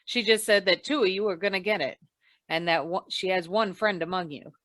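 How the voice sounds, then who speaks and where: A woman playing a deadpan, hard-boiled female investigator in an old-time radio crime noir, with dry, world-weary delivery. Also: on the low side, brisk, no echo, lightly acted. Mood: cool, matter-of-fact. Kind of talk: 1940s radio noir narration and dialogue.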